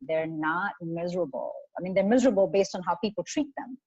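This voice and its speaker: A woman's voice: lowish voice, animated, brisk, darkish tone, husky, dry recording. A woman with an Indian accent, a guest speaking earnestly and reflectively in a casual interview, with frequent fillers. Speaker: guest, photographer. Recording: muffled, dry sound.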